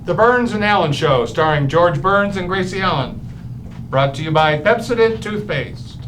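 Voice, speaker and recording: A man's voice: high voice, expressive, moderate pace, music in the background, low-quality recording. A man playing a droll announcer reading a script for an old-time radio comedy show.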